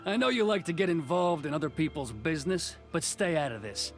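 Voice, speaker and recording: A man's voice: fairly high pitch, expressive, normal speed, soft background music. An actor playing a proud, cold male officer of a commission, curt and dismissive, in video game dialogue.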